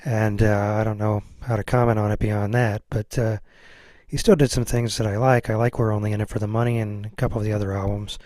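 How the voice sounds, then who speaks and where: Low-voiced man, dry close sound, casual. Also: flat delivery, fairly quick, a little mellow, no room sound. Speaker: podcast host. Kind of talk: casual chat.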